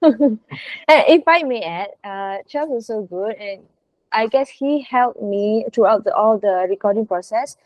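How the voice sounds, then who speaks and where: Actress in discussion: female, unhurried informal delivery, dry recording. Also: a little high, expressive, no room sound, muffled recording. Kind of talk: casual discussion.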